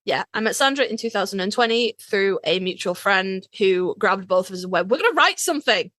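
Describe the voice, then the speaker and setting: A woman's voice: medium pitch, animated, fairly quick, fairly bright tone, no room sound. A British woman co-hosting a podcast in casual chat, informal and sweary. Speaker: podcast co-host.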